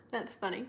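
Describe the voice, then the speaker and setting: Young woman, slightly squeaky high voice, casual livestream host, chatty, laughs a lot. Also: dark tone. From United States. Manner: chatty, laughs often, reads out comments.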